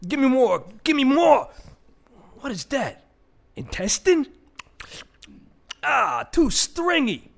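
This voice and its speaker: A greedy, crude male vulture from a comic radio play. His voice sits high and swoops widely. He grumbles and exclaims, lingering over his words. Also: wildly swooping pitch, slow pace, creaky, pauses often, heavily acted. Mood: greedy, grumbling. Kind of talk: comic audio play acting.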